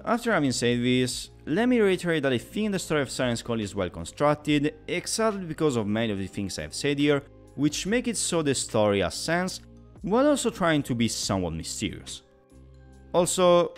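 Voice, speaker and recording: Animated man reviewing a game. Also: a little high, normal speed, soft background music. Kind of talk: scripted review commentary.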